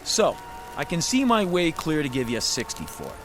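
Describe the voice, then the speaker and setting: An actor playing a fast-talking, pushy used-car salesman in an audio drama, with an American accent and slick, folksy, condescending patter. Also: fairly high pitch, huge pitch swings, background noise. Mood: blustering, haggling. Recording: loud music behind the voice.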